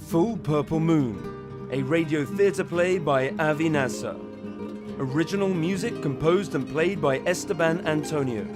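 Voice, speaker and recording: Guy announcing radio play credits, slightly high voice, loud guitar behind. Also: measured pace.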